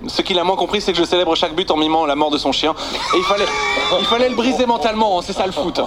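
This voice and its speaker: A man's voice: sits high, quick pace, heavy background noise. A Belgian man with a Belgian French accent doing a sarcastic comic monologue as a radio columnist. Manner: sarcastic, punchy joke delivery.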